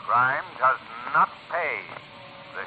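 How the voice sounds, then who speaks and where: Sinister mocking mystery figure, extremely drawn-out intoning, narrow-band vintage radio sound. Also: male voice, medium pitch, animated, heavy background noise. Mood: gloating menace. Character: sinister male shadowy avenger, slow, menacing and mocking. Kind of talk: 1940s radio acting. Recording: tinny 1940s radio, music underneath.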